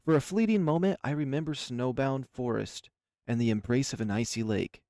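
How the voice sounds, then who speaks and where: A man narrates a visual novel in the first person. His voice is medium in pitch and expressive in delivery, set over clearly audible music. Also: moderate pace, dry recording, clean studio sound.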